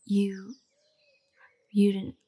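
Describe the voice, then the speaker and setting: A woman's voice: medium pitch, completely flat delivery, extremely slow, dark tone. A woman playing an earnest, nerdy royal botanist in a fantasy audio roleplay, speaking in a precise, formal, lecturing way directly to the listener. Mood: flustered, apologetic. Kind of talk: roleplay audio drama, scripted monologue to the listener. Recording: birdsong behind the voice. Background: birdsong, garden ambience.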